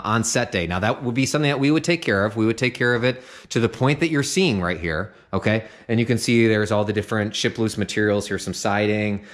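A man giving a casual walkthrough of an unfinished house, speaking conversationally with many fillers and frequent "okay?" check-ins. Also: fairly low pitch. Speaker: home sales guide. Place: unfinished house interior, open framing.

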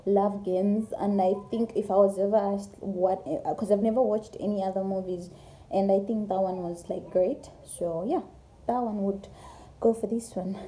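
A woman's voice: medium pitch, fairly even intonation, normal speed, warm tone, poor audio. A young woman from Zambia, chatting casually to her phone camera in a small room, rambling with lots of fillers. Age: young adult. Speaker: YouTuber. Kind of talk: casual get-to-know-me vlog. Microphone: phone camera at arm's length.

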